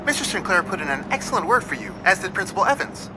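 A woman's voice: medium pitch, sing-song, fast, background noise, natural voice. An actress playing a polite, businesslike woman interviewer leaving a phone message in a video game. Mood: courteous, brisk.

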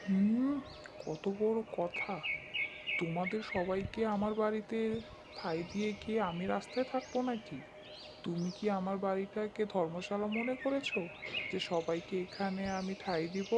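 Man playing a stingy, scolding landlord, high voice. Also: normal speed, noisy background, close to an everyday voice. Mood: scornful. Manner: scolding, dismissive. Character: callous, stingy, irritable male village landlord. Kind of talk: Bengali cartoon character voice acting.

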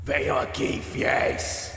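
A man voicing a sinister, gleefully menacing cartoon cult villain, raspy and drawn out in his delivery. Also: unhurried, fairly bright tone, natural voice. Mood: menacing glee. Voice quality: gravelly, raspy.

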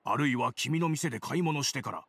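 Stern, reluctant older businessman for an anime game. Husky, animated, lecturing. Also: medium pitch, rapid-fire, exaggerated voice. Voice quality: husky, gravelly. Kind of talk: Japanese anime game voice acting.

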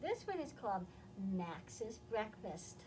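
A woman's voice: medium pitch, big pitch swings, takes it slowly, noisy background. A middle-aged woman with an American accent, presenting a children's storytime and explaining things simply to young listeners. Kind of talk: children's read-aloud and simple instruction. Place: indoor library, seated among bookshelves. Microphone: camera several feet away.

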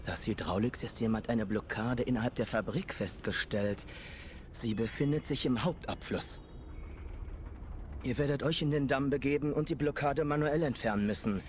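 A man's voice: mid-range voice, moderate pace, slight background noise, lightly acted. An actor playing a keen, practical male engineer and adventurer ally in a fantasy video game. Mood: focused, instructive. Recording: game music behind the voice.

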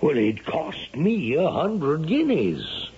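A man's voice: a little high, wildly swooping pitch, takes it slowly, a little mellow, full character voice. An actor in a 1970s radio drama playing a skeptical, well-off Victorian father with a dry, exasperated manner. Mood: indignant, wry. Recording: muffled vintage radio broadcast.

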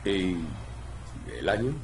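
A man voicing a gruff, weary cat private detective in a video game, with a deep gravelly voice, a terse, dry delivery. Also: takes it slowly, a little hiss or hum. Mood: businesslike. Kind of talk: video game dialogue acting. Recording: roomy echo, faint music behind.

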